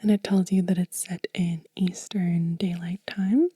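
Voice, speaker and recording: A woman speaking gently and unhurriedly in a soft, whispery, breathy voice, close to the mic, as an ASMR host showing and telling. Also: on the low side, fairly even intonation, unhurried, no echo. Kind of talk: soft-spoken ASMR show and tell.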